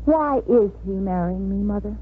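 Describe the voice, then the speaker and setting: An actress playing a disillusioned young bride-to-be in a 1950s radio drama, with a wry, world-weary manner. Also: female voice, mid-range voice, lively intonation, moderate pace, slightly rough. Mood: wry, flat resignation. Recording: muffled, noisy 1950s radio recording.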